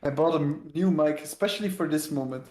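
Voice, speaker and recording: A man's voice: a little high, quick pace, darkish tone, echoey room. A man casting a live game in a casual play-by-play way, heard through a voice call. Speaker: esports caster. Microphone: new microphone, heard through a voice call.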